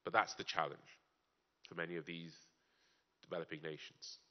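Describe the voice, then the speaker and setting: Man with a really deep voice giving a conference talk, slow deliberate rhetorical questions, kinda dull muffled recording. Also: little pitch movement. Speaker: conference panel speaker. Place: conference in Germany. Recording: dull lo-fi sound.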